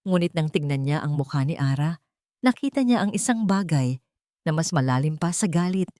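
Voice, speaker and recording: A woman's voice: sits low, expressive, moderate pace, dark tone, no echo, studio quality. A woman narrating a scripted romance story in a theatrical, emphatic manner.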